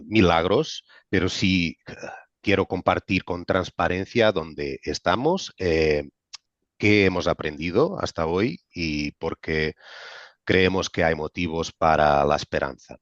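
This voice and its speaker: A man with a non-native accent in Spanish, giving an informal explanatory webinar presentation as a medical director, speaking haltingly with frequent pauses and repeated words. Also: sits low. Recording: dull, roomy webinar audio.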